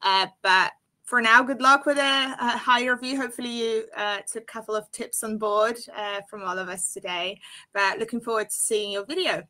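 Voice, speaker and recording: A woman from Poland speaks as a guest on an online careers session. Her tone is bright and clear, and she talks in a loose, chatty way. She laughs easily and fills her sentences with "uh" and "you know.". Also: fairly high pitch, fairly even intonation, normal speed. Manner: chatty, laughs often, many fillers. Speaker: company guest on a careers webinar. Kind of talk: casual advice on a live webinar.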